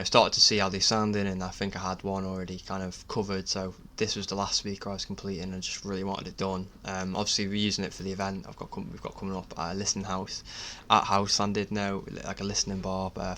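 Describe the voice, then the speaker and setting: A man talks casually over his DIY build video in a low, very even voice. He is hesitant and modest, often halting as he explains. There is clear noise in the recording behind him. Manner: hesitant, halting, self-effacing. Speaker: DIY builder narrating own project.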